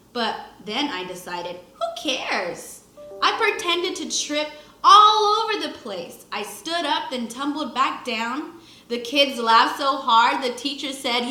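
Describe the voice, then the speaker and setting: A woman's voice: high-pitched, sharp tone, reverberant. A woman teacher reading a children's book aloud expressively to young pupils, acting out the lines and adding short explanations. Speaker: first grade teacher.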